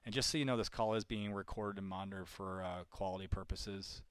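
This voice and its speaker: A man making live calls on behalf of a real estate group, talking casually and haltingly. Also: deep voice, steady tone, clear, resonant tone, no room sound. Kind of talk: live cold call, casual phone chat.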